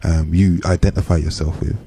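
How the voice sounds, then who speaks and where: Male radio guest, British accent, deep relaxed voice, earnest and measured conversational delivery. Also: breathy edge, no room sound. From UK, born to Caribbean parents. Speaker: guest, community organisation chair.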